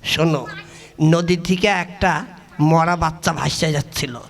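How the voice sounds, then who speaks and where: A man's voice: fairly high pitch, fairly even intonation, normal speed, gravelly, pitched below the performer's own voice. A man voicing a greedy, scheming husband in a comic puppet play, blustering theatrically in colloquial speech. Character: scheming, greedy, foul-mouthed husband puppet, a small-time cheat.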